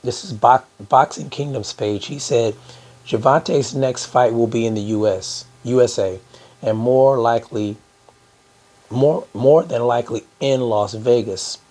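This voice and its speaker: A hoarse man hosting a boxing news channel, talking casually to his viewers with lots of fillers. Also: mid-range voice, measured pace, a little mellow. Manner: conversational, many "uh" fillers, addresses "guys". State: hoarse from a cold. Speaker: boxing YouTube host.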